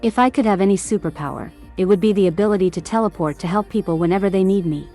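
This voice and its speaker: A woman's voice: medium pitch, fast talker, dark tone, faint music behind. A woman earnestly reading her pageant answers. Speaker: pageant contestant.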